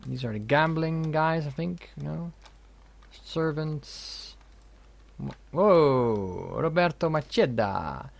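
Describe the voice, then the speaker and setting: A man's voice: on the high side, sing-song, slow pace, gravelly. A young man giving casual let's play commentary, reading game dialogue aloud with jokey asides. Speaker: gaming video host.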